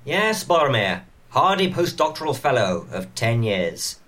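A man playing a stately elf lord, addressing a council formally and gravely in an amateur comic pantomime. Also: medium pitch, sing-song, unhurried, a bit bright. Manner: formal, oratorical.

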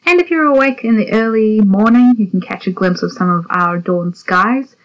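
A woman's voice: medium pitch, normal speed. A woman from South Africa presenting a guide to the night sky. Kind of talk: astronomy sky guide narration. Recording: dull lo-fi audio.

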